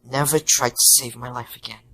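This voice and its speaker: A woman's voice: very deep voice, fairly even intonation, brisk, vocal fry, sharp tone, full character voice, rough recording. A woman playing an earnest, modest schoolboy hero in an audio play, understated, with music faintly behind. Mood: wry.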